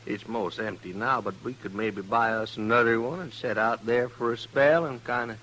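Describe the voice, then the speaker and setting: Man acting a sharp-voiced folksy hillbilly. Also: medium pitch, fairly even intonation, normal speed, slight background noise, close to an everyday voice, poor audio. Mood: folksy, sociable. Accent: rural American hillbilly. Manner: folksy, rustic backwoods talk. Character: cornered grumbling hillbilly man, sly and sociable, hard-drinking. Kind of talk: old-time radio western acting.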